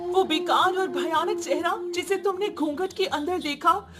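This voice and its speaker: A woman voicing a sorrowful, aggrieved soul of a cursed woman trapped in a tree, for an animated story. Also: very high voice, measured pace, voice pushed up. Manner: plaintive, aggrieved. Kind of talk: Hindi animated moral story acting.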